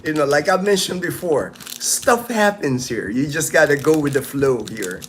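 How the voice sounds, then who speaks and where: A man's voice: a little high, lively intonation, normal speed, slight background noise. A man in his late fifties hosting a casual livestream, chatting with viewers in a rambling, easygoing way with lots of restarts, outdoors in the sun. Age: 50s. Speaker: livestream host. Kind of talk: casual livestream chat reading viewer comments.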